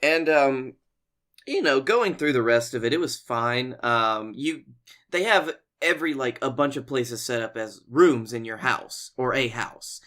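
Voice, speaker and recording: A man's voice: medium pitch, lively intonation, a little slow, a bit bright. An American man with a mid-range voice, co-hosting a comedy podcast. He tells stories in a loose, rambling, conversational way and swears casually along the way. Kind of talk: casual comic storytelling chat.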